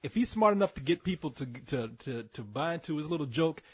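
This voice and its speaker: A man's voice: fairly high pitch, lively intonation, fast, husky. A man co-hosting a podcast in casual chat, often stammering and restarting, mild and conciliatory. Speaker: podcast co-host.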